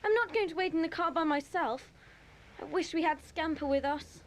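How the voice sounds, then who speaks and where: Very high, girlish little sister. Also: moderate pace. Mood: anxious. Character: young schoolgirl, alert, slightly timid little sister. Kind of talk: children's audio dramatisation acting. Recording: roomy, faintly noisy cassette dub.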